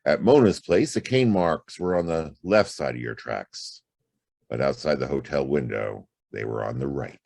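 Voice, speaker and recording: A hard-boiled detective played by a male actor from a noir audio drama. The voice sits extremely low and crackles with gravel, close and dry. He is laconic and wry, and his delivery moves widely in pitch. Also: animated, moderate pace, a little mellow, no room sound, heavily acted. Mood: firm, weary. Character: world-weary hard-boiled private detective, adult man. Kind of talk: noir radio drama acting and first-person narration.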